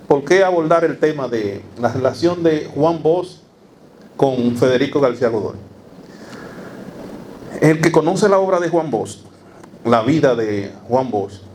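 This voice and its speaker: Man giving a measured lecture. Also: mid-range voice, a little mellow, a little hiss or hum. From Dominican Republic. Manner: measured, with frequent fillers and rhetorical asides. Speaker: academic speaker. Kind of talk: university lecture. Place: university lecture hall.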